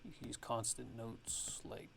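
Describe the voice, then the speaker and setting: A man giving a casual music production tutorial in a halting, laid-back, ASMR-style way, talking offhand. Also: medium pitch, level tone, gravelly, background noise.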